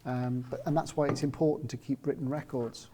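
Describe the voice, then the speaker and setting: A man from South Wales, a parapsychologist guest talking in a measured, explanatory way with frequent ums. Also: mid-range voice, fairly even intonation, background noise. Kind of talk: casual interview chat.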